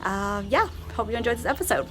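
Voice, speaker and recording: A woman's voice: fairly high pitch, sing-song, fast talker. A young woman hosting her gardening channel, chatting casually with easy fillers outdoors in her garden. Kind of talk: casual vlog intro.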